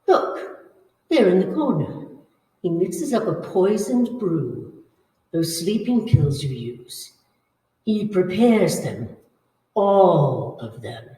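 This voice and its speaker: Actress doing creepy old vampire lady in a radio horror play, bossy drawn-out delivery, super echoey and far from mic. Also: fairly low pitch, sing-song, mellow. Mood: urgent, conspiratorial. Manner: slow, commanding, drawn-out phrasing. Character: menacing old female vampire, undead and bloodthirsty.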